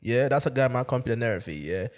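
A man co-hosting a casual chat, animated and heavy on slang. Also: mid-range voice, racing. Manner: animated, slangy, lots of "bro" and "you get me". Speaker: podcast co-host.

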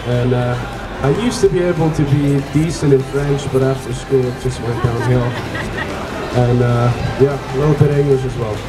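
A man's voice: mid-range voice, steady tone, normal speed, reverberant, over loud music, a loud crowd, poor audio. A young man with a Swiss German accent, answering fan questions in a plain, matter-of-fact way. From Switzerland. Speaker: hockey defenseman guest. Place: indoor rink event stage. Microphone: handheld mic.

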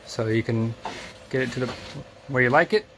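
A man's voice: medium pitch, moderate pace, fairly bright tone, slight background noise, poor audio. A man narrating a home DIY project step by step in a plain, matter-of-fact way, with the odd filler. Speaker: DIY channel host. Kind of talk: casual DIY voice-over walkthrough.

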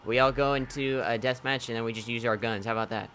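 A man's voice: medium pitch, fairly even intonation, fast, a bit bright, slight background noise. A young man in casual gaming banter, playfully taunting the other players. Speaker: video game player.

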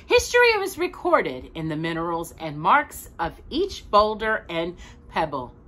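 A middle-aged woman reading a picture book aloud to a child, expressive and deliberate, stressing key words. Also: fairly low pitch. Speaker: host of a children's storytime. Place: small indoor room with bookshelves.

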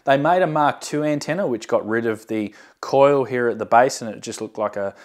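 A man's voice: medium pitch, normal speed. A man in his thirties talking casually to camera as a hobbyist video host, giving a hands-on show-and-tell. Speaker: amateur radio hobbyist and channel host. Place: indoor workshop storeroom with shelving. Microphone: lapel mic.

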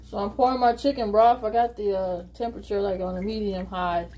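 A woman's voice: medium pitch, steady tone, fairly quick, warm tone. A woman with a Southern US accent casually explaining her cooking as she goes, recorded in a home kitchen. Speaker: home cook and vlogger. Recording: noisy kitchen with sizzling food. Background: frying and stirring sounds.

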